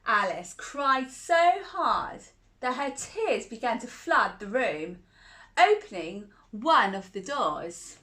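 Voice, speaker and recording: A woman's voice: on the high side, sing-song, takes it slowly, bright, forceful tone. A woman reading a picture book aloud to children in a cozy, playful way, with chatty asides and laughs between the lines.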